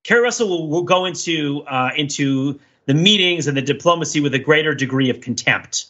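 A man's voice: fairly high pitch, expressive, brisk, bright, forceful tone, dull audio. A man hosting a podcast, giving casual but analytical commentary on a TV show in long, emphatic, speculative stretches. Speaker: podcast host.

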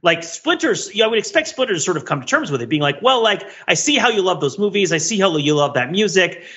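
A man co-hosting a podcast, chatting casually about pop culture in a rambling, digressive, animated way on a slightly lo-fi track. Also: sits high, quick pace. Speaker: podcast co-host.

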